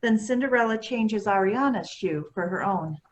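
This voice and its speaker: Woman, average pitch, narrator, scripted reading of stage directions. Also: moderate pace, slight background noise.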